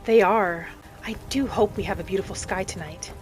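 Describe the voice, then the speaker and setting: A woman voicing a gentle, adventurous female wood elf traveller in a video game roleplay, musing softly aloud in character over music. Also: medium pitch, normal speed, lightly acted, low-quality recording. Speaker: video game streamer role-playing.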